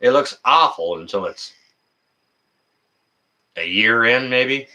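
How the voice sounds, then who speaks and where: A man in his fifties hosting a casual livestream chat, talking in a rambling, folksy, halting way. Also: medium pitch, expressive, bright, forceful tone. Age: 50s. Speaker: livestream host.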